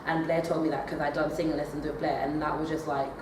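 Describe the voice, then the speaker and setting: Young woman, drama student, low voice, hesitant with fillers, distant noisy echoey recording. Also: level tone, fast talker. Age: young adult. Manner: hesitant, many fillers and restarts. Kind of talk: assessment interview answers. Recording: echoey room, far from the mic, noisy.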